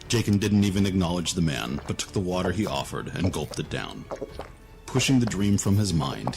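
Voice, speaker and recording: A man with a very deep voice reads a dramatic story as narrator. The delivery is scripted storytelling, and faint music plays behind him. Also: creaky.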